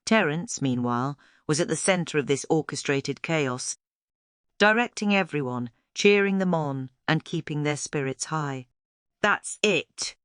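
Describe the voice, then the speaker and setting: A woman's voice: sits low, lively intonation, a little slow, clean tone, dry recording, studio quality. A woman reading a scripted children's story aloud as its storyteller.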